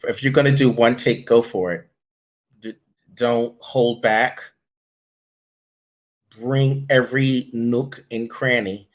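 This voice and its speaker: A man's voice: medium pitch, steady tone, takes it slowly, pauses often. A man, a voiceover director and coach, giving blunt tough-love advice as an interview guest.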